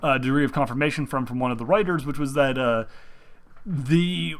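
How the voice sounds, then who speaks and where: A young man chatting casually while sick and sore, at an ordinary pitch for a man. He wanders through his thoughts with lots of uhs, likes and restarts. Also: fairly quick. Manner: meandering, full of fillers and restarts. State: sick, speaking through pain. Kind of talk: casual rambling gaming commentary.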